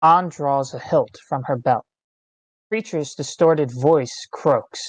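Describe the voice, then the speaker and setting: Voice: mid-range voice, slow pace, no echo. Stage-direction narrator: terse dramatic phrasing, scripted table read, close dry sound. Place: online meeting, close dry mic.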